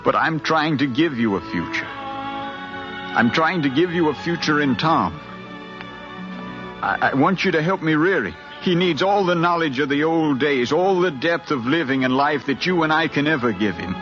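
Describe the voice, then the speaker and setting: An actor playing an earnest, insistent middle-aged son pleading with his mother, in a deliberate, impassioned American radio drama style from the 1950s. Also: a little high, very animated. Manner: impassioned, deliberate, speechlike with repeated phrases. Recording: dull 1950s radio recording, music.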